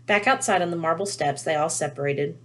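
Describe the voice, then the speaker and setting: A woman reading a children's novel aloud in an expressive storytelling style. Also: low-pitched, brisk. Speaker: read-aloud reader.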